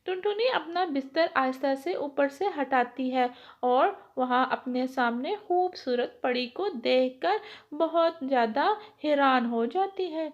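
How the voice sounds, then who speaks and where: A woman's voice: sits high, a little slow, dull audio. A woman narrating a scripted children's story as the storyteller.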